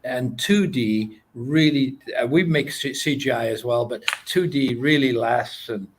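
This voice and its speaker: Welsh guy being interviewed, animation producer, lots of ums, mid pitch voice. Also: measured pace. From Wales. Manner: hesitant, lots of uh and um. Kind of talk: interview guest.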